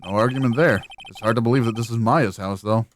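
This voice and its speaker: A man voicing a dry, wary young male lawyer in a video game, speaking in a low-key, wry way. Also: quick pace, a little hiss or hum, natural voice. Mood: wry musing. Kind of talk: fan voice-over of a video game.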